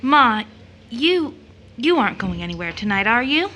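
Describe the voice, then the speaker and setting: A tender young sister in an audio drama. Her voice is bright and a bit high. She is hesitant and anxious, with a fond softness for her family. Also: sing-song, moderate pace, full character voice.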